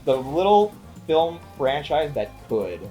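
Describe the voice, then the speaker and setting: A man's voice: on the high side, sing-song, slow, dark tone, rough recording. Man chatting over background music. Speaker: co-host of a movie review show. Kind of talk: casual conversational film review.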